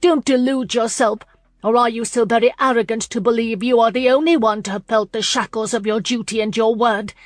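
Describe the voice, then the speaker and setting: A woman's voice: a little high, fairly even intonation, fairly quick, no room sound, clean studio sound, close to an everyday voice. A woman narrator voicing a heartbroken, defiant young woman in a period romance, speaking in impassioned, tearful outbursts. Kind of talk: audiobook character voice.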